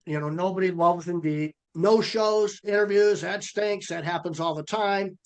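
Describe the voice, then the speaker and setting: Man, middle-aged, fairly high voice, hoarse from a cold, folksy coaching talk, video call. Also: normal speed. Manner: folksy, energetic sales-coach delivery. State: losing his voice from a cold. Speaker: presenter. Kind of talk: webinar presentation.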